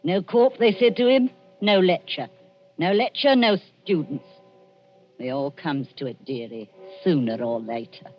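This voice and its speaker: A woman's voice: fairly low pitch, a little slow, close to an everyday voice. An actress playing a scheming, greedy old woman in a radio thriller, wheedling and sinister. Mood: sly, commanding. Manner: wheedling, calls people dearie. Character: scheming, greedy old Cockney female body-snatcher, coaxing and sinister. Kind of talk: 1940s radio thriller acting. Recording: muffled vintage radio recording, music behind.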